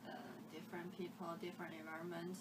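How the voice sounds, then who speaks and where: A woman's voice: on the low side, level tone, quick pace. A woman from China speaks as an international student in plain, searching phrases. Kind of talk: casual interview answers. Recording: distant mic, noisy background.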